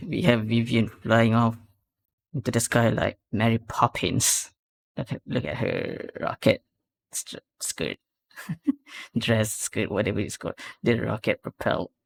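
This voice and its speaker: Man, casual reaction host, unhurried pace, creaky voice, rambling, repeats himself, faint music behind. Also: medium pitch. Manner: rambling, repeats words for emphasis. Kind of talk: casual commentary, reacting to game trailers. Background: music from the trailer.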